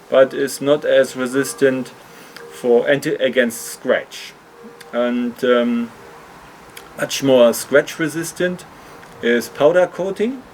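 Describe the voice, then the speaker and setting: Slow-talking man explaining in a vlog. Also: mid-range voice, a little hiss or hum, low-quality recording. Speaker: video host, hobby motorcycle customizer.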